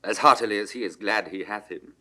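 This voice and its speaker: An actor playing a disillusioned, world-weary young prince in a classical Shakespearean audio drama, declaiming bitterly. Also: male voice, lowish voice, a little fast, sharp tone.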